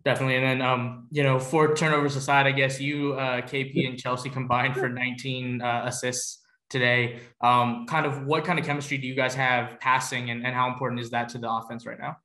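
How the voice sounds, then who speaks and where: A man's voice: medium pitch, little pitch movement, a little fast, pushed and bright, roomy sound, rough recording. A man working as a sports reporter, asking press conference questions over a video call.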